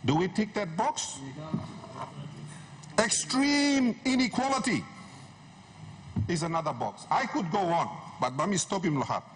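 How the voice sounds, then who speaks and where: A man's voice: sits high, animated, measured pace, pauses often. A middle-aged man giving an impassioned, emphatic speech as a member of parliament, using rhetorical repetition. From Papua New Guinea. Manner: emphatic, rhetorical, repeats phrases for effect. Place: large wood-panelled parliament chamber. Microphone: desk gooseneck microphone, standing. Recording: noisy parliament chamber microphone.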